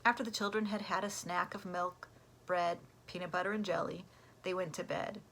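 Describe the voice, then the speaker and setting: Female storybook reader, teacher type: lively pitch swings, forward bright tone, some rasp, expressive delivery. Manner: animated, acts out character voices. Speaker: elementary school teacher reading aloud. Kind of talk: expressive read-aloud of a children's storybook.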